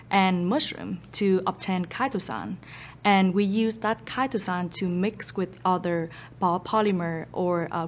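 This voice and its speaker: A woman in her thirties from Vietnam with a Vietnamese accent, speaking English as a second language in an earnest, steady manner with frequent ums. She is a startup founder seated for an interview in a studio, recorded on a boom mic. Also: a little hiss or hum. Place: photo studio with a blue backdrop, indoors. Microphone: boom mic overhead.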